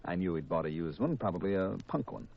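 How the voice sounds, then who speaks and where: Hard-boiled American murderer, menacing and courteous, deep voice, muffled vintage radio sound. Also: male voice, expressive, gravelly, full character voice. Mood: bitter confession. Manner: deliberate, hard-boiled, mock-polite. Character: cold, confessing killer, male insurance man, coolly menacing. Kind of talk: 1950s radio mystery acting. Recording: muffled, dull 1950s radio recording.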